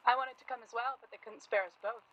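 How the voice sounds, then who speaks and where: Actress playing a husky, pleading, polite houseguest. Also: female voice, on the high side, fairly even intonation, quick pace, muffled recording, lightly acted. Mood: earnest. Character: weary, placating young woman, an anxious polite houseguest. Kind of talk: radio play acting, 1930s period drama.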